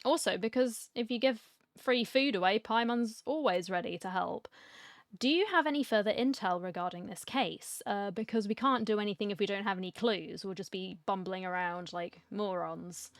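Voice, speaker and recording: A woman's voice: medium pitch, normal speed, dry recording, clean studio sound. Chatty woman gamer with wry commentary. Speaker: gamer, streamer. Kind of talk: casual playthrough commentary.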